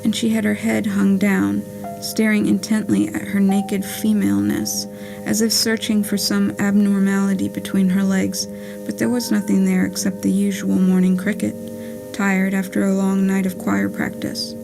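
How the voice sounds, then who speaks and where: A woman's voice: medium pitch, moderate pace, vocal fry, rough recording. A woman reading a scripted, letter-like monologue for a puppet play in a wry, plainspoken storytelling way. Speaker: reader of own written play. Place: live theatre performance with music. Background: music.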